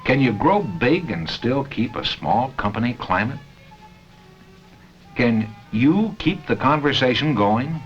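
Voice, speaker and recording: An American man reading scripted narration for a 1970 company training film, with measured, deliberate phrasing and pauses. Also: mid-range voice, sing-song, faint music behind. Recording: dull 1970 film soundtrack.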